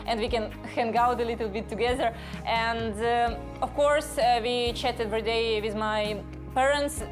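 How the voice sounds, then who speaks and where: An eighteen-year-old woman from Ukraine with a Ukrainian accent, speaking hesitant English with many fillers as she tells her story in an interview. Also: fairly high pitch. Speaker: football referee. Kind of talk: interview testimony. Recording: music underneath.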